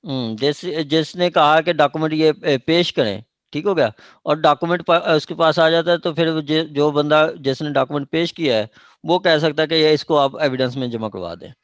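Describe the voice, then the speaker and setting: A man with a Pakistani accent explaining law as a lecturer, haltingly repeating and rephrasing his points and checking that students follow. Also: a little high, no echo.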